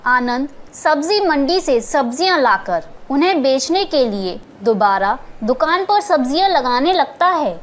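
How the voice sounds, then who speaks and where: A woman's voice: high voice, lively intonation, measured pace, hardly any pauses, a little hiss or hum. A woman narrator reading a scripted children's moral story.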